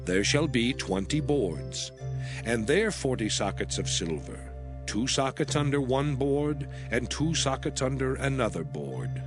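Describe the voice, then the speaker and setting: Expressive male scripture narrator over music. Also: mid-range voice. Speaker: audio Bible narrator. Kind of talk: dramatized scripture reading from the King James Bible. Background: background music.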